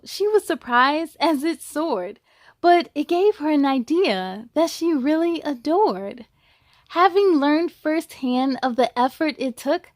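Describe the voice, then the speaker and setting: A woman reading a children's book aloud in an expressive, encouraging way, with chatty asides to young listeners. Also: sits high, dry recording, studio quality.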